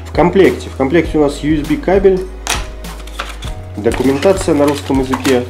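A slightly hoarse man with a cold, chatting casually and thinking aloud as he unboxes a phone at an office desk. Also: on the high side, fairly quick, over music. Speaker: tech reviewer.

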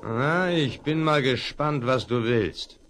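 A blunt mercenary safecracker. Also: male voice, mid-range voice, slow pace, fairly bright tone, slight background noise. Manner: blunt, businesslike. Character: cool male safecracker crook, mercenary.

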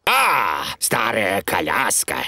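A man in a cartoon dub playing a kindly, hearty old grandfather pig, creaky and reassuring. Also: lowish voice, slow pace, no echo, exaggerated voice. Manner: reassuring, animated.

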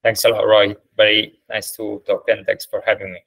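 A man in his thirties from Italy with an Italian accent, answering interview questions over a video call in a measured way, with many ums and uhs. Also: on the low side, flat delivery, gravelly, fairly bright tone, low-quality recording. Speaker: startup founder, guest. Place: indoors, plain room. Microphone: video call webcam.

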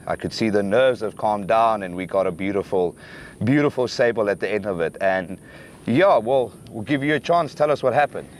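A man's voice: sits low, slight background noise. A young South African man, a hunting guide whose first language is Afrikaans, talking outdoors in the bush with many uhs and restarts. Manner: halting, many fillers and restarts. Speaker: professional hunter guide. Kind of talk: outdoor hunt recap to camera. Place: outdoors in dry bushveld.

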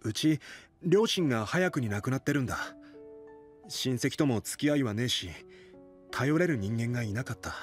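A man's voice: medium pitch, animated, normal speed, soft background music, natural voice. A man acting an earnest, weary young idol in an anime-style audio drama, speaking casually and frankly. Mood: subdued, resigned. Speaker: voice actor.

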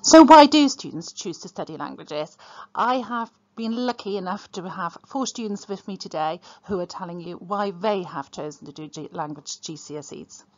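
A woman who leads a school languages department, presenting course information in a clear, explanatory way. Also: on the low side, lively intonation, moderate pace, lo-fi sound. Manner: clear, explanatory teacher delivery. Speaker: subject leader for languages.

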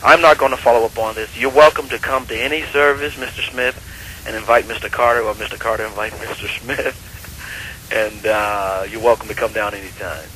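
A man's voice: lowish voice, fairly even intonation, a little fast, bright, forceful tone. A man answering a church phone, firm and questioning, on a slightly noisy call recording. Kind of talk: phone conversation.

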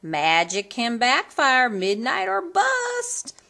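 A woman voicing a spirited, bossy old fairy godmother from a western storybook, with brisk, folksy commands. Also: sits high, wildly swooping pitch, creaky, pushed and bright, pitched above the performer's own voice, heavily acted. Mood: warning.

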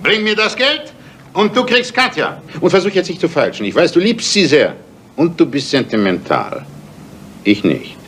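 An actor playing a smug, menacing crime boss in a 1970s radio drama, mock-friendly and taunting. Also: male voice, fairly high pitch, huge pitch swings, normal speed, fairly bright tone, a little hiss or hum, full character voice. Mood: smug menace.

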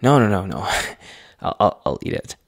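Man acting a gravelly, hesitant young boyfriend. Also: on the low side, big pitch swings, no echo, clean studio sound, full character voice. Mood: tentative, concerned. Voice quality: husky, gravelly. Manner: halting, hesitant, soft-spoken, sighing. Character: young boyfriend, anxious, tender, hesitant, easily exasperated. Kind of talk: boyfriend roleplay ASMR.

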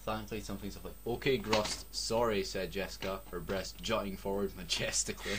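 A man's voice: fairly low pitch, steady tone, fairly quick, background noise. Sarcastic Scottish man reading aloud. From Scotland. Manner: sarcastic, swears a lot, breaks off to joke. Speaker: reader. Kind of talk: mocking comedic reading of a story.

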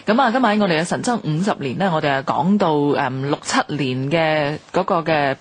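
A woman's voice: low voice, lively intonation, normal speed, breathy edge. A woman with a Hong Kong Cantonese accent hosts a radio history programme conversationally, summing up and asking questions. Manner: inquisitive, summarising. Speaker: radio host.

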